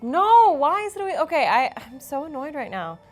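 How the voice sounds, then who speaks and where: A woman's voice: high voice, big pitch swings, brisk, soft background music. A woman playing a tabletop role-playing game, talking casually in a dramatic, sweary way.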